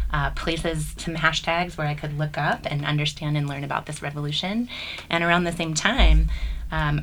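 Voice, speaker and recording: Woman, low pitch, flat, faint hiss behind. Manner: steady, explanatory. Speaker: guest.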